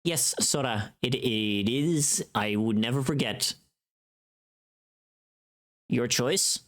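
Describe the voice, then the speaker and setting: A streamer chatting casually and playfully on a livestream, thinking aloud in an expressive way. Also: mid-range voice, takes it slowly. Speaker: livestreamer and voice actor making a voice pack.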